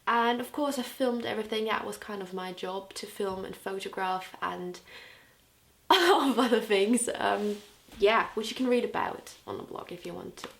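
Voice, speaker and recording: A woman's voice: mid-range voice, moderate pace, gravelly. A young woman with a Scouse accent, chatting casually and enthusiastically in a year-in-review vlog. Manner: chatty and enthusiastic, with frequent fillers. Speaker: vlogger and music interviewer.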